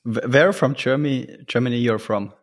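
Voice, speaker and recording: Halting male podcast host, mid-pitched voice. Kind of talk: casual interview questions.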